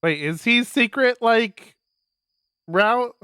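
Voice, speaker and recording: A man streaming a visual novel, reading it aloud as expressive narration with casual asides. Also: very high-pitched, slow, smooth, no room sound, clean studio sound.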